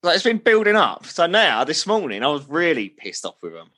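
A man in his thirties rants in exasperation on a podcast, swearing freely. His voice is bright and forward with a husky edge. His pitch leaps up and down as he complains. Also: very animated, fairly quick. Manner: exasperated ranting, swears often. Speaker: podcast co-host. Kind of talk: casual banter.

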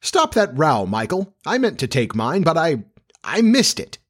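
Here is a man acting out a middle-aged storybook father full of wounded pride. He is pompous, indignant and childish, pleading his own case. The pitch rides high and swings widely. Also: huge pitch swings, measured pace, slightly rough, full character voice. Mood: flustered. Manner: pompous, indignant, self-pitying. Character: blustering, petulant middle-aged English father, proud and childish.

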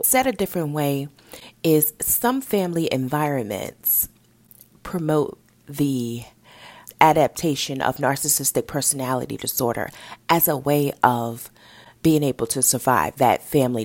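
Raspy, nasal woman with a low voice. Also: animated, unhurried, a little mellow, dry recording. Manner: upbeat, encouraging teaching tone. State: hoarse from a head cold. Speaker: podcast host. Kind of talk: podcast education talk.

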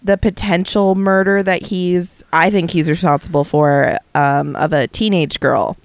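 Woman podcast co-host, smooth and resonant voice, dry close sound, hesitant and chatty. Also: on the low side, fairly even intonation, unhurried, dry recording. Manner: chatty, hesitant, many ums and restarts. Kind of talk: casual podcast chat.

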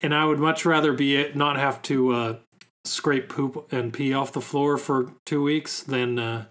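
A young man co-hosting a podcast in casual chat, slightly hoarse, laid-back and joking. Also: mid-range voice, fairly even intonation, a little slow, a bit bright. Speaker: podcast co-host.

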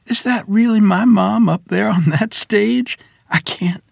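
Man acting a high-voiced, creaky young soldier. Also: a little slow, dry recording, clean studio sound, full character voice. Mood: stunned, confused. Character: bewildered young soldier son home from war. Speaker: actor at a screenplay table read. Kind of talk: dramatic script reading.

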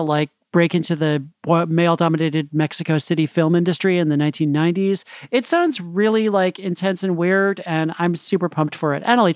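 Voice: medium pitch, no echo. Podcast co-host, dry studio-like sound, enthusiastic, gushing, loose conversational phrasing, casual book chat. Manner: conversational, peppered with "like".

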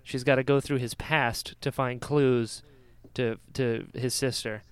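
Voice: medium pitch, steady tone, measured pace, clear, resonant tone, no room sound. A podcast host chatting casually about pop culture, animatedly slipping into imagined dialogue.

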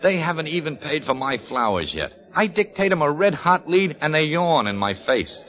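An actor playing a brash, wisecracking ghost newspaper reporter in a 1940s radio drama, talking in quick newsman patter. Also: on the high side, sing-song. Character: brash fast-talking male ghost sportswriter. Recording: muffled old radio broadcast recording.

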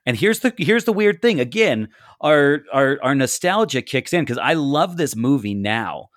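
A man in his thirties talks in a relaxed, reflective way, sometimes repeating words while he gathers his thoughts. His voice has a middle pitch. The sound is close and dry. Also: normal speed, dry recording. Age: 30s. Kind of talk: casual chat.

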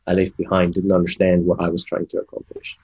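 A deep-voiced, husky man whose first language is Afrikaans tells his story at length, with plenty of ums and restarts. The recording sounds dull and lo-fi. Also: completely flat delivery, quick pace, a little mellow. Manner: rambling storyteller with many fillers. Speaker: guest, game development manager and coach. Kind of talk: casual interview, personal story.

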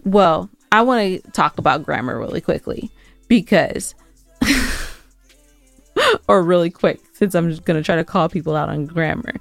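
A woman's voice: lowish voice, expressive, a little slow, gravelly, pauses often, dry recording. A woman co-hosts a casual podcast and likes to tease. Her talk is loose and conversational. The sound is dry, with faint music underneath. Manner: teasing. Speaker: podcast co-host. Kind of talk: casual banter with a co-host.

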